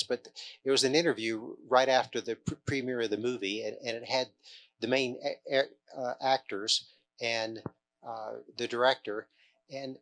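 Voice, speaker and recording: A man speaks off the cuff as an interviewee, with a bright, forward voice at a middling pitch. His delivery is halting, and he often stammers and doubles back on his words. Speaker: film extra interviewed at an event. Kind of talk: unscripted interview answers.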